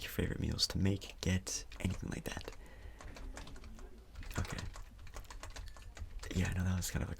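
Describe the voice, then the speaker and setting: Soft-spoken man, low gravelly ASMR murmur. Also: background noise. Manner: hesitant, casual, reassuring. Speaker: ASMR performer role-playing a doctor. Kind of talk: soft-spoken ASMR role-play, near whisper.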